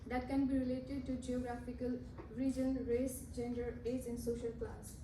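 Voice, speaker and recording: Young woman, Nepali first language, class speech, hesitant restarts, high even pitch, echoey room. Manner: halting, with restarts and self-corrections. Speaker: student speaker. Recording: roomy, from a distance, with noise.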